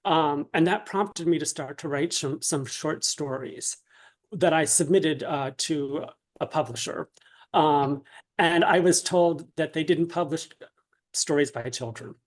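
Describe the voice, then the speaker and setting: A man with a fairly high voice answers as a guest in a casual interview. He is mild-mannered and polite, speaking in a measured, slightly hesitant way.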